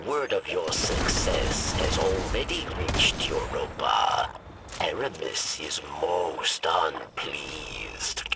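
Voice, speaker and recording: An actor playing a weary, pleading alien informant in a video game, with a breathy rasp and halting, formal broken syntax. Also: male voice, a bass voice, big pitch swings, heavily acted. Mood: grave warning. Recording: distant, heavy noise of game ambience.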